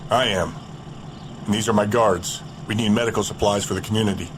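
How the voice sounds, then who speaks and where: A man voicing a steady, businesslike community leader on a risky road trip, his lowish voice over loud vehicle rumble. A radio-drama figure who keeps calm and speaks plainly. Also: a little fast, natural voice. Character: Swenson, male community leader heading out on a supply run. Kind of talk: audio drama acting.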